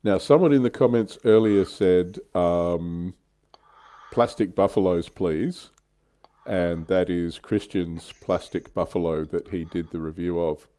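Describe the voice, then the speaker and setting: A man's voice: low-pitched, unhurried, dark tone. An Australian man chatting casually and irreverently as co-host of a hobby livestream.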